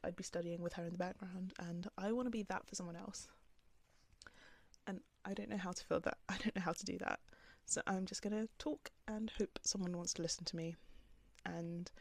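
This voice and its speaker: Young woman vlogger, soft calming voice, lowish with some fry, loose filler-heavy chat. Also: dry recording. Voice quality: calm, soft voice. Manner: rambling, filler-heavy with many "like"s. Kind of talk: casual talk to camera. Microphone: camera on the speaker.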